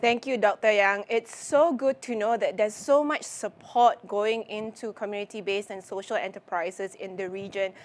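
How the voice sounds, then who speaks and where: A woman's voice: mid-range voice, fairly even intonation, moderate pace, hardly any pauses. A woman hosting an online event, thanking speakers and introducing the next with upbeat announcing. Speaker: event host. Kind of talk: host introductions.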